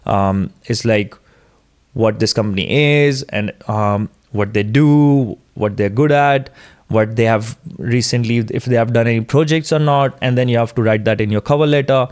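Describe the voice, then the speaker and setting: A man's voice: mid-range voice, normal speed, a little mellow, clean tone. A young man co-hosting a casual podcast, giving informal, encouraging advice. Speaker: podcast co-host.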